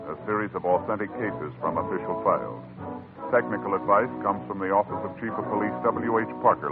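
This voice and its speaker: A man announcing on an old radio show in a measured, formal, solemn way. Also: sits low. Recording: tinny old radio broadcast with music.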